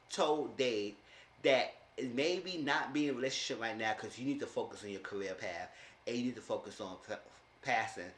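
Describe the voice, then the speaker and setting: A man in his thirties casually recapping a reality show, telling the story with lively voices for the people he quotes. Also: roomy sound. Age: 30s. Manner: animated storytelling, acting out quoted people with big pitch jumps. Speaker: TV show reviewer.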